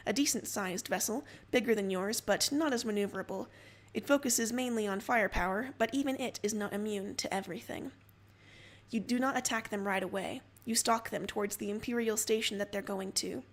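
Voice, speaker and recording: A woman narrating a story dramatically in the second person, as a co-reader on a livestream. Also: on the high side.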